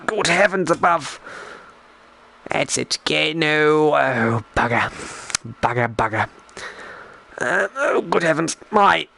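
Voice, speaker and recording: A man voicing a genteel, bumbling 1920s English gentleman, rambling in old-fashioned posh phrases on a live stream, hesitant and repeating himself. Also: a little high, animated, slow pace, vocal fry, pauses often, full character voice. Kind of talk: in-character live stream chat while gaming.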